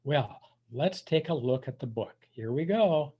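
An older man reading a picture book aloud to young children, with drawn-out emphasis and playful questioning. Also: on the high side, animated, breathy edge. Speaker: volunteer storybook reader for young children. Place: indoors, on a video call. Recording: dull, muffled webcam audio.

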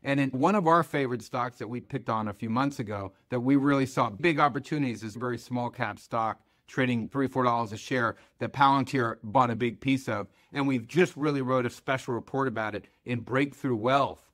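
A man's voice: mid-range voice, a little fast, few pauses, a bit bright. A middle-aged American man hosting informal market commentary, conversational and emphatic, often rephrasing and repeating his points. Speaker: host of investment video commentary. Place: small indoor home office with wood-panel wall.

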